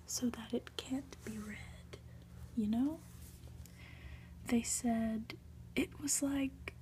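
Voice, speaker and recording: Woman doing asmr story reading, all whispered, really slow n gentle, soft mellow voice. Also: on the high side, low-quality recording. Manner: slow, gentle, hushed delivery with soft asides. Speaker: ASMR reader and host.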